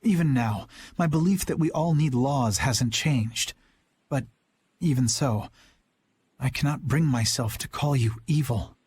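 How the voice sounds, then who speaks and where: An actor playing an earnest, principled young knight captain in a video game, speaking in a measured, thoughtful way. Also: male voice, medium pitch, a little mellow, a little hiss or hum, natural voice. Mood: solemn. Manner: measured and reflective, rising to a rousing rally. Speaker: knight commander.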